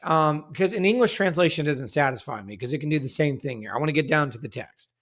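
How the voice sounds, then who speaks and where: A man's voice: on the high side, lively intonation, fast, a bit bright. A man as a guest researcher in a casual scholarly discussion, careful and self-correcting, explaining his findings at length.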